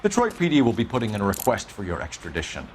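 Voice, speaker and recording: An actor playing an earnest, by-the-book police detective with a formal, clipped, authoritative delivery in a video game. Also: male voice, lowish voice, huge pitch swings, quick pace, a little mellow, a little hiss or hum. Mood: stern, official. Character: earnest, by-the-book young male police detective in 1940s America.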